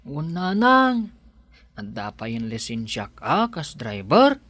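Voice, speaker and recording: A man playing an earnest young husband and son in a radio drama, with a bright, forceful voice. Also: on the high side, huge pitch swings, slow pace, exaggerated voice. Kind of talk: Ilocano radio drama acting.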